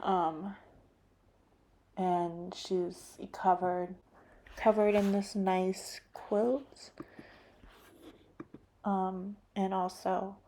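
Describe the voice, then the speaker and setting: A woman painter giving a soft, halting, reflective talk about her painting, full of fillers and drifting into whispers. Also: medium pitch, flat delivery, slight background noise.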